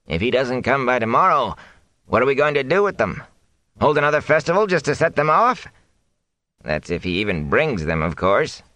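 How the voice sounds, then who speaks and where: A man's voice: mid-range voice, very animated, moderate pace, bright, forceful tone, no echo, pitched above the performer's own voice. A man voicing a distrustful, grumbling old village thatcher in an audiobook, with a querulous manner.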